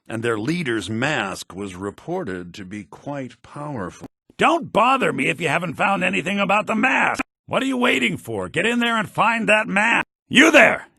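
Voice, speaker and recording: A man's voice: on the high side, gravelly, bright, forceful tone, breathy edge, dry recording, studio quality, heavily acted. A man playing a self-important, impatient military officer in a video game, speaking in a pompous, imperious way. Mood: impatient, commanding. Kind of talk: video game character acting.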